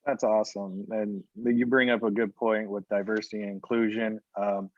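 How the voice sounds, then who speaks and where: A man's voice: on the low side, flat delivery, normal speed, no room sound, lo-fi sound. A man interviewing a guest, casual and full of fillers as he builds up long questions.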